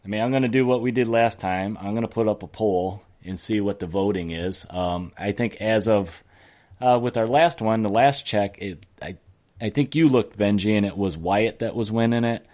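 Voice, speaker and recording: Low-voiced man chatting on a gaming podcast. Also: moderate pace, a little mellow. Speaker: podcast host. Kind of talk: casual tabletop gaming podcast chat.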